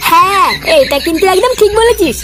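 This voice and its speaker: A woman voicing a blunt, excitable village neighbour in a comedy cartoon, complaining in a colloquial, animated way. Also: extremely high pitch, fairly quick. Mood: curious, chatty. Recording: faint music behind the voice.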